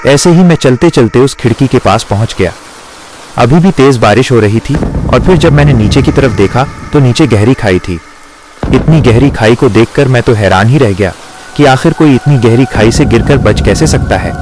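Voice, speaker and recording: A man's voice: mid-range voice, expressive, moderate pace, noisy background. A man narrating a scripted horror story in the first person.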